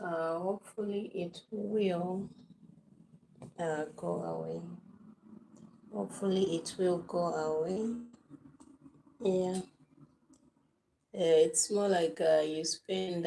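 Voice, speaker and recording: A hoarse woman from Zimbabwe hosts a morning live stream from her sunny veranda, her phone lying on the floor. Her voice sits low and gravelly, and she talks unhurriedly, pausing often on drawn-out fillers. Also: mellow, slight background noise, low-quality recording. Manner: slow, halting, with many "uh" fillers. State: hoarse, just woken up. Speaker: livestream host. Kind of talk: casual live-stream chat.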